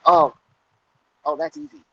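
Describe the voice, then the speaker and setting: A man's voice: a little slow, dry recording. A man co-hosting a casual wrestling podcast, dry and joking, often restarting his sentences. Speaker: podcast co-host. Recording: dull lo-fi podcast audio.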